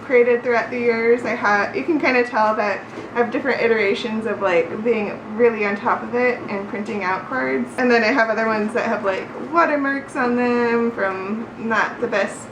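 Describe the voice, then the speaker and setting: A woman's voice: on the high side, fairly even intonation, normal speed, highs cut off. A woman chatting casually in a study vlog, informal and self-deprecating, with an encouraging way of talking. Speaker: vlogger learning a language. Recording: roomy echo, clear background noise.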